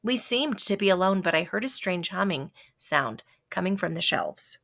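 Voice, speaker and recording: A woman reading a children's story aloud as scripted narration, with a dramatic, expressive delivery that varies for effect. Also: fairly low pitch, moderate pace, creaky. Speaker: storybook reader.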